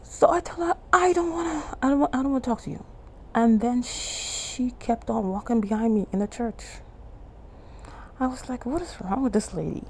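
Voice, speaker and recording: A woman from the Bahamas with a Bahamian accent, telling a church testimony in casual, animated talk and jumping high when she quotes people. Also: moderate pace, a little hiss or hum, poor audio. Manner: animated, acts out quoted lines in a much higher voice; restarts and trails off often. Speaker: testimony vlogger.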